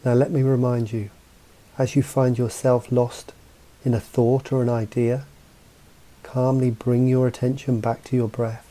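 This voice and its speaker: A man guiding a mindfulness meditation as a coach, speaking in a calm, measured, instructive way with pauses between phrases. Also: mid-range voice. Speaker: meditation guide and mental coach. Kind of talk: guided mindfulness meditation narration.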